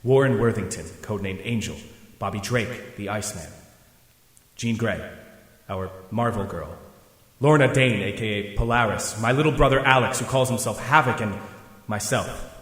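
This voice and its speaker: A man's voice: on the low side, normal speed, a bit bright, natural voice. Man playing an earnest superhero leader in long reverb. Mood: solemn explaining. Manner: melodramatic, commanding. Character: earnest, driven young male superhero team leader, troubled. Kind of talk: fan audio drama acting with first-person narration. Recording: long reverb, faint background noise.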